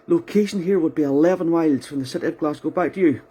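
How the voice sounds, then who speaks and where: A man's voice: fairly high pitch, quick pace, warm tone, a little hiss or hum. A man making a ham radio contact, methodically spelling out call signs in the phonetic alphabet and repeating them. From County Antrim, Northern Ireland.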